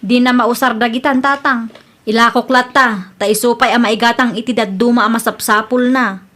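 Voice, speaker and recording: A woman acts out a young married daughter-in-law in an Ilocano radio drama. Her voice sits a little high, with an Ilocano Filipino accent. She is respectful, apologetic and earnest with her elders. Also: steady tone, normal speed, fairly bright tone, close to an everyday voice. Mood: defensive.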